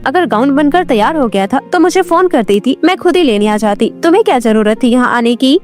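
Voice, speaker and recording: A woman voicing an arrogant, sharp-tongued young college woman in an audio storybook. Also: high-pitched, animated, fairly quick, faint music behind. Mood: angry. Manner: haughty, sharp. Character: arrogant, snappish young college woman ashamed of her family.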